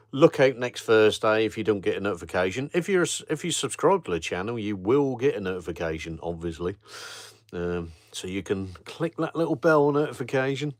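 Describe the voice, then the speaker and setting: A middle-aged man with a Norfolk accent, hosting a relaxed live chat for viewers of his travel channel, rambling with ums and chuckles. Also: medium pitch, no echo, studio quality. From Norfolk, England. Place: home at night, streaming on a phone. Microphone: phone held in portrait mode.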